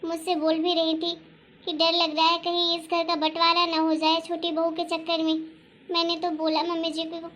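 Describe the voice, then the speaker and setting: A woman voicing a worried, soft-hearted housewife and mother in a cartoon family drama, talking in a fretful, plaintive way. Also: extremely high pitch, monotone, normal speed. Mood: worried, upset.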